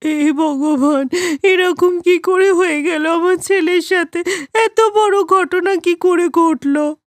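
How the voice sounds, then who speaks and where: A woman's voice: very high-pitched, slow, no echo, studio quality, voice pushed up. A woman voicing a loving, anguished elderly village mother in a cartoon story.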